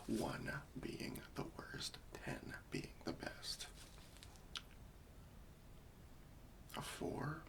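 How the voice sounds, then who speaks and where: A man playing a gentle, patient, faintly wry professional cleanup worker in a softly whispered, hesitant ASMR roleplay. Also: mid-range voice, creaky, heavily acted, low-quality recording. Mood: calm, soothing. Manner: soft, hesitant, soothing, politely tactful.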